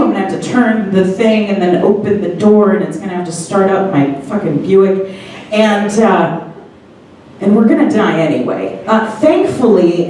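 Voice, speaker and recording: A woman's voice: on the low side, mellow, a little hiss or hum. A woman telling a true story on stage with a wry, digressive, laughing manner and the odd swear. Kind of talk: live personal storytelling. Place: comedy theater stage. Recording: echoey theater from far off.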